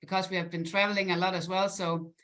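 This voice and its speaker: A woman's voice: on the low side, flat delivery, fast, fairly bright tone. A woman from Denmark giving an upbeat presentation on a business call, with frequent fillers and light laughter. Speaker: guest presenter.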